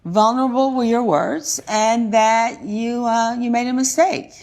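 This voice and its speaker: A woman's voice: on the high side, a little slow, a little mellow. A woman presiding as a TV court judge, questioning in an emphatic, folksy way and repeating phrases for effect.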